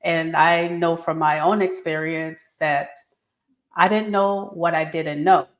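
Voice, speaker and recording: Woman speaking coach, kinda low voice, earnest teaching tone, echoey room. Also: fairly even intonation, takes it slowly. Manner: earnest, instructive explanations. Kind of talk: interview answers.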